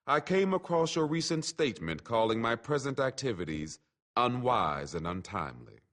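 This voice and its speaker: Male reader, oratorical and formal, deliberate phrases that rise and fall widely in pitch. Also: a bit bright. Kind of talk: oratorical reading aloud of a written letter.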